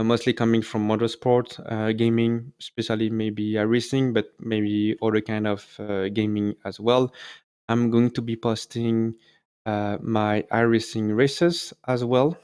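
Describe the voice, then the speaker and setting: A man with a French accent talks to his viewers in a casual solo video. His voice sits fairly low and sounds smooth and clear. He hesitates often, filling gaps and correcting himself as he works through English, which is not his first language. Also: a little mellow. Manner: hesitant, many fillers and self-corrections. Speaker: YouTube host.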